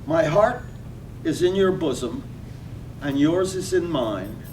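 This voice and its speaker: A man's voice: lively intonation, takes it slowly, darkish tone. An actor playing a lovesick, thrifty draper reading out his love letter earnestly in a staged radio drama. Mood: tender, solemn. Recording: distant mic, faint rumbling noise.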